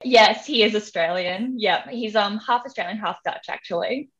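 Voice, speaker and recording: A woman's voice: mid-range voice, normal speed, fairly bright tone. Young woman, Hong Kong English accent, giggly guest chatter, slightly dull audio. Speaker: podcast guest. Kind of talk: casual interview chat.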